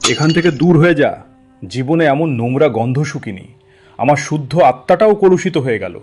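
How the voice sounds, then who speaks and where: A man's voice: on the high side, lively intonation, normal speed, a little mellow. A man voicing an arrogant, short-tempered village landlord in a cartoon fairy tale, mocking and scolding as he talks. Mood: furious.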